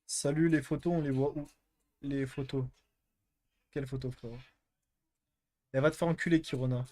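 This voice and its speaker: A man's voice: mid-range voice, fairly even intonation, moderate pace, warm tone. A young man hosting a live stream, chatting casually and answering chat with crude, teasing jokes. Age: young adult.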